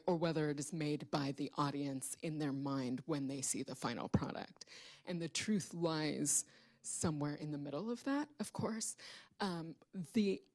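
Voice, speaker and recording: Female panelist, low-pitched, measured and explanatory, speaking in a live public discussion. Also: a little mellow. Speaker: theater artist on a panel. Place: public event room at a library.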